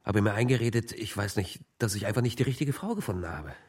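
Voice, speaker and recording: Ashamed man, low husky voice. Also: animated, quick pace, a little mellow. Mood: ashamed, regretful. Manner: hesitant, troubled. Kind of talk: naturalistic German radio drama acting.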